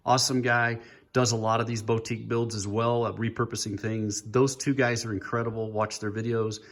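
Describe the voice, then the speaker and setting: A man's voice: on the low side, little pitch movement, fairly quick. Chatty man giving a casual project walkthrough. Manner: chatty, informal, lightly joking. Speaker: hobbyist builder presenting his project. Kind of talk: casual show-and-tell walkthrough. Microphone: handheld phone.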